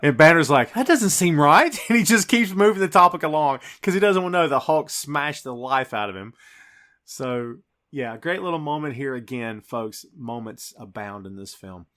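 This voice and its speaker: A man's voice: a little high, lively intonation, moderate pace, fairly bright tone. Emphatic male podcast host. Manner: emphatic, dramatic storytelling. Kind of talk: film discussion podcast, scene-by-scene breakdown.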